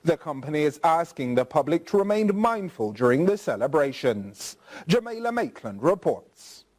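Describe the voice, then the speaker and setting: A Jamaican man anchors the news in a fairly high voice. His pitch moves widely as he delivers the scripted items in a formal news-reading style. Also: big pitch swings, moderate pace, a little mellow.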